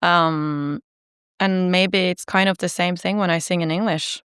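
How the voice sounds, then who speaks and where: Chatty woman, low voice. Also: steady tone, a little slow, fairly bright tone, smooth, no echo, studio quality. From Norway. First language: Norwegian. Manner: chatty, laughs easily, many ums and likes. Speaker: singer-songwriter guest. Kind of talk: casual interview chat.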